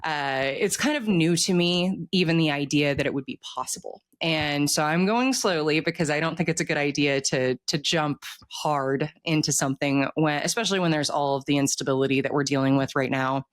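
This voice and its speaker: Low-voiced American woman chatting casually. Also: fairly quick, few pauses, no room sound. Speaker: author being interviewed. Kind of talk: casual interview chat.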